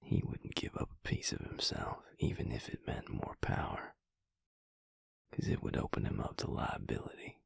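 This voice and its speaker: A man playing a protective, weary but tender vampire mate in an intimate audio roleplay, speaking in a hushed, breathy, gravelly voice with a deliberate, reassuring manner. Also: no room sound, in a whisper, lightly acted. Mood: hushed, reflective.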